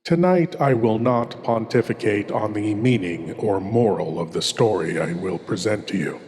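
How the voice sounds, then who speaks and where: A man's voice: lowish voice, darkish tone, lightly acted. A man playing a courtly, sinister horror host who speaks in a grandiose, theatrical way. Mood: grave. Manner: grandiose, slow, deliberate delivery. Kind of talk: theatrical horror anthology introduction. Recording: noisy, long cavernous reverb.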